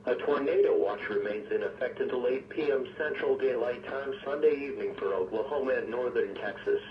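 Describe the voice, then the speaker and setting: A synthetic-sounding man's voice reading formal emergency weather bulletins in a measured, impersonal way, as an automated radio announcer. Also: mid-range voice, distant mic. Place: weather radio broadcast picked up on a receiver. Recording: muffled, noisy, echoey weather radio broadcast.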